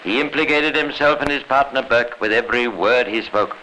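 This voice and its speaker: A grave, authoritative narrator voiced by a man tells a radio crime story with formal drama. The voice is sharp and forceful, on an old recording with slight background noise. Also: medium pitch, brisk, close to an everyday voice, poor audio. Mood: grave, dramatic. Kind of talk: 1950s radio scripted narration.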